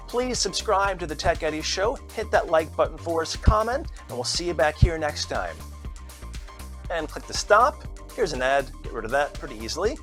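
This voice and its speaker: A man's voice: a little high, fairly bright tone. A man hosting an app review show, giving a casual, chatty tutorial. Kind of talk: upbeat app tutorial narration.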